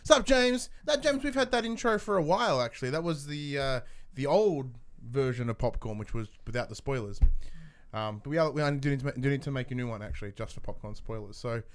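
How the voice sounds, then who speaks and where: A man's voice: mid-range voice, brisk, smooth. A man co-hosting a podcast in a casual, chatty style. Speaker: podcast co-host. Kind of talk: casual chat.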